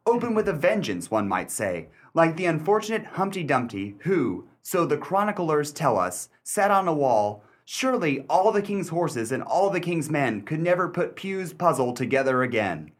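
A man acting as a shrewd, sardonic gentleman narrator in a mystery radio play, speaking with deliberate, theatrical emphasis and dry wit. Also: on the high side, sing-song. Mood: wondering, deliberate.

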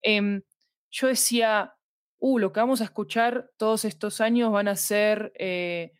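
A woman's voice: mid-range voice, fairly even intonation, unhurried, no echo, studio quality. A woman with a Latin American Spanish accent speaking as a podcast guest in a reflective, discursive way, often checking in with small tag questions. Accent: Latin American Spanish with voseo. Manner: thoughtful, discursive, seeks agreement with tag questions. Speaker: podcast guest, fiction podcast creator. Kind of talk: reflective conversational interview.

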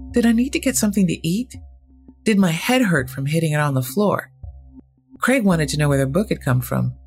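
A storyteller reading first-person audio fiction in a measured way, in character as a wry teenage narrator. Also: mid-range voice. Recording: music bed under the voice. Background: music score.

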